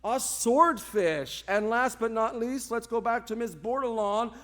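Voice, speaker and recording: A man's voice: extremely high pitch, a little slow, a bit bright. A man hosting a live radio drama show with grandiose, playful, formal showmanship.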